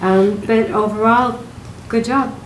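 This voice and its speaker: A woman's voice: on the high side, fairly even intonation, takes it slowly. Roomy-sounding woman hosting a talk. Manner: modest, moderating, gently steering talk. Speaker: host of a writers' group show. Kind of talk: casual group discussion. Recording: roomy echo with faint noise.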